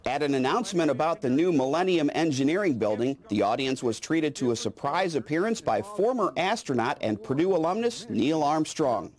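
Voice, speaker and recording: A man's voice: fairly high pitch, lively intonation, brisk, few pauses, a little mellow. A man reading scripted newsreel narration as the narrator.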